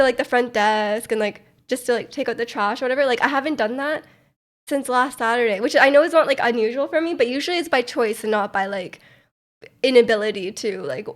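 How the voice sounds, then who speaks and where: A young woman streamer with a stuffy, congested voice, chatting casually and rambling with lots of fillers. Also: on the high side. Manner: rambling, many "like" fillers, soft laughs. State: congested, nasal from a cold. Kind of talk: casual chat to live chat.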